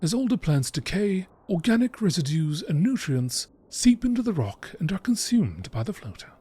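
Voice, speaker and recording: A man reading scripted nature documentary narration in a measured, expository manner. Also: high voice, big pitch swings, mellow, breathy edge, no echo. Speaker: narrator reading game text.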